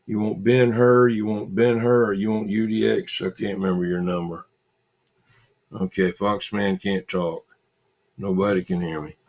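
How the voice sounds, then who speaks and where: A man hosting a casual livestream, chatting with viewers in a halting, repetitive way and muttering asides to himself. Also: fairly low pitch, little pitch movement, darkish tone. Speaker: livestream host.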